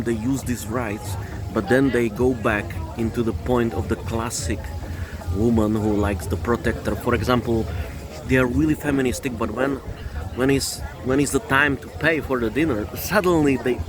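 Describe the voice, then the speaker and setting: Halting man vlogging. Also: mid-range voice. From Czech Republic. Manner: halting, restarts phrases, repeats himself. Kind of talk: opinionated vlog monologue.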